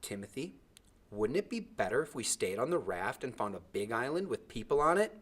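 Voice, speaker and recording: A man's voice: mid-range voice, sing-song, fairly quick, fairly bright tone, slightly rough. A man voicing an anxious, pleading young castaway boy in a storybook, speaking in an earnest, questioning way.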